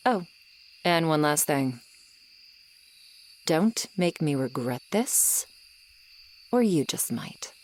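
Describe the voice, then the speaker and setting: A woman's voice: low voice, animated, a little hiss or hum. A woman playing an ageless tsundere swamp monster girl, sardonic and grudgingly kind, in an ASMR roleplay. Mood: grudgingly fond. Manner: slow, sardonic, haughty but softening. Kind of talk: ASMR roleplay acting, addressed to the listener. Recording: night swamp ambience with insects behind. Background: crickets and insect ambience.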